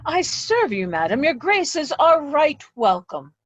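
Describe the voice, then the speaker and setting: A woman's voice: high-pitched, very animated, slow pace, heavily acted. A woman playing a deferential noble lord in Shakespearean reader's theatre.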